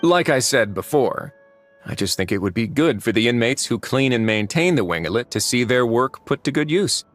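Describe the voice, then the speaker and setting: An actor playing a composed, wry duke who runs a prison in a video game, courteous and understated. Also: male voice, medium pitch, very animated, normal speed, soft background music, close to an everyday voice.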